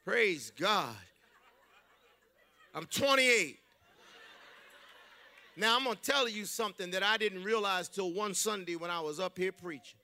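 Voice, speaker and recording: A man preaching a sermon in a church, emphatic and loud, mixing humor with exhortation. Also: high voice, expressive, a little slow, long pauses. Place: church sanctuary.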